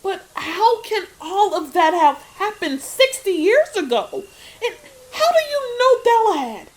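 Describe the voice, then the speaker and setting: A woman's voice: extremely high pitch, big pitch swings, measured pace, exaggerated voice, low-quality recording. A woman playing an impulsive, distraught twelve-year-old princess in a fairy tale audiobook, speaking with exclamatory emotion. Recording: music behind the voice. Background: music.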